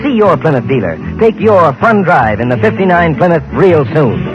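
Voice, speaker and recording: A man's voice: a little high, very animated, normal speed. A man announcing a 1950s radio commercial. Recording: dull 1950s radio recording. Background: music.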